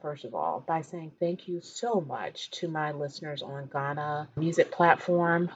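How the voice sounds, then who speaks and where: A woman's voice: deep voice, darkish tone, lo-fi sound. A woman podcast host introducing a show in a conversational, appreciative way. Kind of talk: podcast introduction, chatty with some reading from notes.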